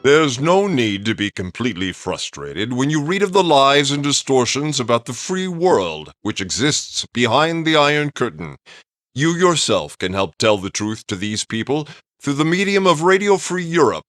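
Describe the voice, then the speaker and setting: A man's voice: mid-range voice, big pitch swings, moderate pace, a bit bright, no echo, clean studio sound. A man announcer reading an earnest, formal public-service appeal in 1950s radio style, persuasive in manner.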